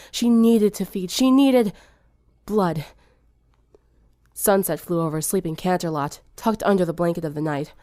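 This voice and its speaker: A woman's voice: lowish voice, a little mellow, no echo, clean studio sound. A woman narrating fan fiction in a dramatic storytelling style.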